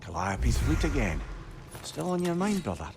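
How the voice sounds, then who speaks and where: A man's voice: mid-range voice, normal speed, creaky, noisy background. A man voicing a chatty, sympathetic and inquisitive companion in a video game. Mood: concerned, gentle. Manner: lively, probing, gentle. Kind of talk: dramatic video game voice acting.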